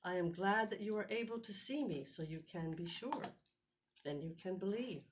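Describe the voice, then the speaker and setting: A woman voicing a kind, patient male teacher in a children's storybook, speaking in a deliberate, reassuring way. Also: mid-range voice, a little hiss or hum, close to an everyday voice. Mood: patient, loving.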